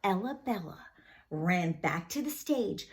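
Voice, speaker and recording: A woman's voice: fairly low pitch, expressive, slow. A woman narrating a children's storybook expressively, with dramatic, careful delivery for young listeners.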